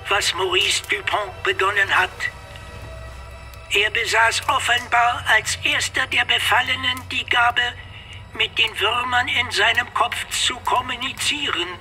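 A man's voice: very high voice, exaggerated voice. A man playing a cold, patronizing AI. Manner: calm, patronizing, measured. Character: calm cybernetic superintelligence, cold and condescending. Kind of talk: German radio play acting.

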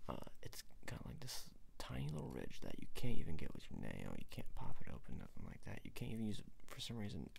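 A man in his twenties doing a soft-spoken ASMR roleplay as a repair technician, murmuring haltingly to the listener up close. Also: lowish voice, wildly swooping pitch, vocal fry, a little hiss or hum. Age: 20s. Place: small room at home.

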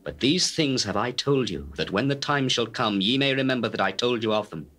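An actor playing a solemn male teacher addressing his disciples in measured, declamatory scripture drama. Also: medium pitch, lively intonation, fairly bright tone. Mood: grave, warning. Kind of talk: dramatized King James scripture reading.

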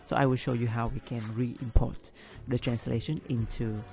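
A man hosting a screen-recorded software tutorial, talking through each step with frequent ums and restarts, English not his first language. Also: medium pitch, flat delivery, moderate pace, creaky, music in the background. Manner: hesitant, many ums and restarts. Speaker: business analyst tutorial host.

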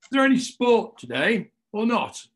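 A middle-aged man playing a grumbling, bored gentleman in a period drama read over video call. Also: high-pitched, very animated, moderate pace. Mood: impatient. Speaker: actor in live read.

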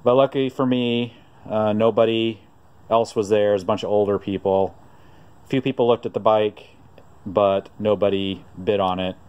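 A man with a low, even voice that stays flat and clear. He tells his story off-camera outdoors on a phone, relaxed and rambling, with lots of pauses. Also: highs cut off. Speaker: vlogger showing a vintage find. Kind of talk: casual off-camera narration. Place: outdoors on a driveway.

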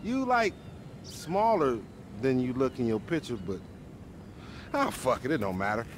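A man's voice: medium pitch, very animated, measured pace. An actor playing a chatty, over-familiar young male street hustler in a video game, rambling eagerly in slang. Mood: friendly, eager. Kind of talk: video game cutscene acting. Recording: clear outdoor traffic ambience.

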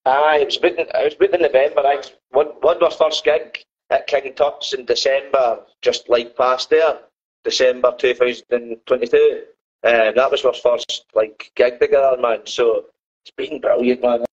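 A man's voice: mid-range voice, fairly even intonation, moderate pace, muffled recording. A Scottish man in a band, chatting loosely in an interview and tacking "man" onto his sentences. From Scotland. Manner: rambling, says man a lot. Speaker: band member guest. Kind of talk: casual interview chat. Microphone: phone on a video call.

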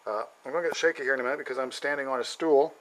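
A middle-aged man giving a casual, halting tour of his basement plant shelves, with many ums and frequent throat clearing, recorded on a handheld camera. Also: medium pitch, a bit bright, rough recording. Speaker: home plant grower. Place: indoor basement grow shelves.

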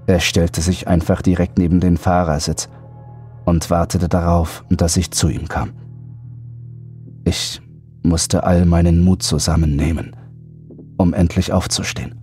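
A man's voice: sits low, flat delivery, unhurried, long pauses, darkish tone, faint music behind. A man narrating a horror audiobook in a scripted, dramatic first-person storytelling style.

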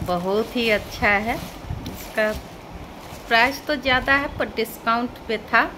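A woman's voice: fairly high pitch, slow pace. Middle-aged woman, noisy recording. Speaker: vlogger. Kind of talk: casual unboxing narration to viewers. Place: indoor room at home. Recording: clearly noisy home phone recording. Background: clear background noise.

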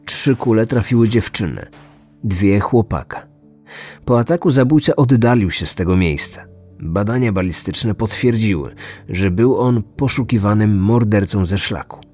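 Low-pitched male true-crime narrator. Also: moderate pace, darkish tone. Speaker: narrator and podcast host. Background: music.

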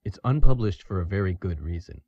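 An actor playing a grave, authoritative expert explaining things in an audio drama. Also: male voice, sits low, expressive, fairly quick, mellow, dry recording, highs cut off. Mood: grave, explanatory.

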